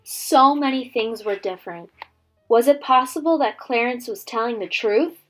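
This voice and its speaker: A woman reading a children's story aloud as a teacher would, with dramatic, expressive delivery. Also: a little high, moderate pace. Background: background music.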